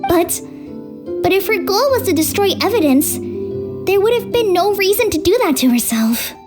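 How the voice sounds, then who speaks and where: A woman voicing a tiny, high-voiced childlike female companion in a game, blunt and quick to question. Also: animated. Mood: accusing. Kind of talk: game voice acting. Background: game music.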